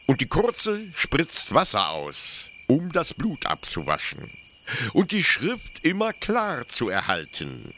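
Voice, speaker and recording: An actor playing a zealous military officer who explains things eagerly, haltingly and pedantically in a German radio play. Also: male voice, medium pitch, wildly swooping pitch, pushed and bright, a little hiss or hum, full character voice. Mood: eager, pedantic. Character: zealous male military officer proudly explaining his execution machine.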